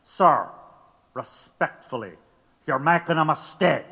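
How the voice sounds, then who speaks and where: Tense, forceful police commander, echoing. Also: male voice, fairly high pitch, lively intonation, slow, vocal fry, slightly rough, heavily acted. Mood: insistent. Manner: insistent, clipped, tense. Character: hard, urgent police commander pressing his superior. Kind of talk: audio drama acting. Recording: long echoing hall reverb.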